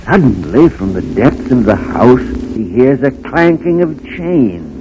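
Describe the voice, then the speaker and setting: A man's voice: mid-range voice, big pitch swings, measured pace, background noise. A man acting as a dramatic, earnest storyteller, narrating in 1930s radio style. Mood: grave, suspenseful. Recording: muffled 1930s radio recording with music.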